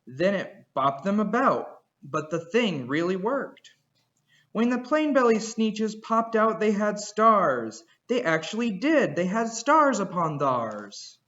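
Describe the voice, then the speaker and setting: Male storyteller, high voice, rhythmic rhyming read-aloud, lo-fi home recording. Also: big pitch swings, slow, fairly bright tone. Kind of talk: reading a children's picture book aloud.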